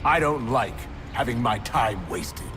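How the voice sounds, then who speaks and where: A cocky, hard-edged hired killer voiced by a man in a video game. His mid-pitched voice moves in lively, sardonic turns, bitter and threatening, with music playing behind. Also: unhurried, close to an everyday voice. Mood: sardonic threat. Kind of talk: video game character acting.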